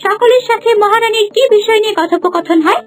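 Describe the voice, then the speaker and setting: A woman's voice: extremely high pitch, brisk, faint music behind. A woman playing a haughty, scheming young princess bride in a fairy-tale cartoon, with an imperious manner.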